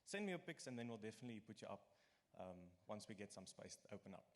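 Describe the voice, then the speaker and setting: A man's voice: fairly low pitch, sing-song, fast talker. A young man with an Afrikaans accent, chatty and self-deprecating, addressing first-year students in a lecture hall. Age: young adult. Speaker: student committee marketing lead. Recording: reverberant hall with faint background noise.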